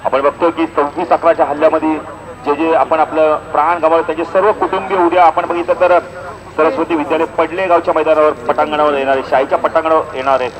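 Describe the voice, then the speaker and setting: Male cricket commentator, fairly high voice, live at an outdoor local tournament with clear crowd noise. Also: flat delivery, fast, low-quality recording. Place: outdoor village cricket ground. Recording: outdoor event sound, crowd behind.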